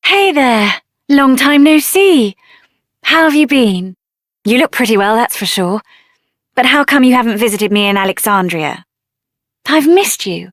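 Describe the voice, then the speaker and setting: A woman voicing a spirited, headstrong young heroine in a fantasy video game, speaking in an earnest, expressive, plain-spoken way. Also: a little high, a little slow, pauses often, no room sound, clean studio sound. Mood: warm, chatty. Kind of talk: video game character voice acting.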